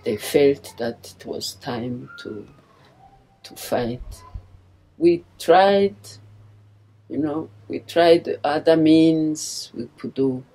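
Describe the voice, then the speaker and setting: An older woman with a Rwandan accent giving personal testimony in an interview, speaking in a halting, deliberate and earnest way. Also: low-pitched, mellow, rough recording. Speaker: interviewee, former liberation movement member.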